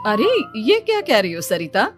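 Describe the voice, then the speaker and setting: A woman's voice: fairly high pitch, huge pitch swings, measured pace, over music. A woman voicing a friendly, chatty neighbour lady in a cartoon story. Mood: surprised, reassuring. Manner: friendly, conversational.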